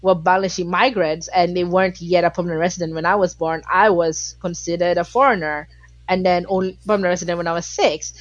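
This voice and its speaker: A woman's voice: on the low side, steady tone, fast, dry recording, lo-fi sound. A woman from Malaysia, a guest in a casual interview, talking with passion and many filler words.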